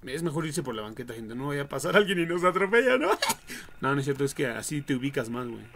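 A man's voice: mid-range voice, big pitch swings, quick pace, creaky, a bit bright. A young adult man with a Mexican accent, giving casual, slangy, foul-mouthed and jokey commentary on a game livestream. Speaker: livestream gamer.

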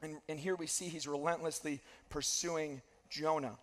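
A man in his thirties preaching a sermon on a church stage, earnest and repeating key phrases, his voice slightly hoarse from losing it. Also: fairly high pitch, steady tone, moderate pace, a bit bright. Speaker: pastor. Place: large church stage with dark backdrop. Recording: long hall reverb.

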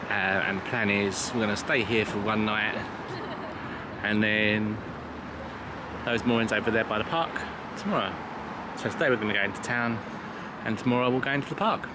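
Male vlogger, low pitch, casual playful chat, recorded outside with clear background noise. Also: moderate pace. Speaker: vlog host. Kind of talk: casual vlog narration. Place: outdoors by a river and boat. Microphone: handheld camera, operator behind it.

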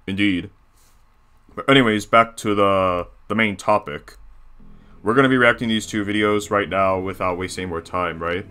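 A crude, self-satisfied cartoon bear boss, acted out by a man, commenting on videos. The voice sits on the low side with a hard, forceful edge, and the manner is bossy and boastful. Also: moderate pace, clear, resonant tone. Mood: bossy, eager. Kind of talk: character reaction commentary.

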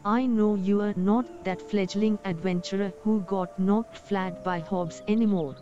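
A woman voicing a small, resolute young dwarf healer in a fantasy game, measured and earnest. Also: mid-range voice, steady tone, dark tone, lo-fi sound, faint music behind, close to an everyday voice. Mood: firm. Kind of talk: dramatic video game dubbing.

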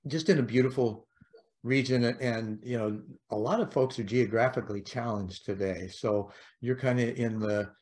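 A man's voice: medium pitch, normal speed, darkish tone. A middle-aged man with a mid-range voice hosts a podcast interview. He asks long, rambling questions with plenty of fillers. He speaks over a video call. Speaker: podcast host. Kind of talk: casual interview chat.

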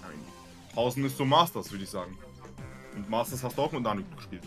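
A man's voice: medium pitch, soft background music. A man teammate chatting casually during a game, explaining tactics and plans. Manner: quick, lively, explains tactics. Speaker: gaming teammate. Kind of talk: casual gaming chat.

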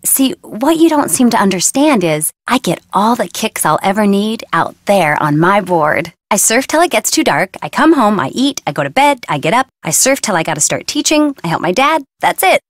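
Breezy, animated young surfer girl. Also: mid-range voice, normal speed, hardly any pauses, no room sound. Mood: insistent, blunt. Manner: casual, slangy, flippant. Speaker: video game character. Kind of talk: video game voice acting, casual slangy dialogue.

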